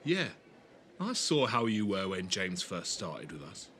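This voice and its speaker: A man's voice: low voice, very animated, measured pace, fairly bright tone, slight background noise, full character voice. A man playing an eager, kindly young companion, chatty and a little awkward. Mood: awkward, apologetic. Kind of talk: fan audio drama acting.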